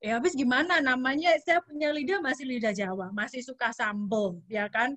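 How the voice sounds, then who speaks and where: A woman's voice: high-pitched, fairly quick, a bit bright, dry recording. A woman in her thirties with a Javanese accent, chatting casually as a webinar guest speaker and mixing in English words. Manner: chatty, mixes in English words, many fillers.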